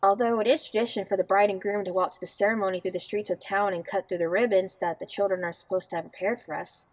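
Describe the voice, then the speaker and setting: A woman plays a young bride-to-be in an audio drama with a mid-pitched voice. She is blunt and practical, grumbling wryly in an informal, no-nonsense way. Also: fairly even intonation, fast, lightly acted, poor audio. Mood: exasperated, wry.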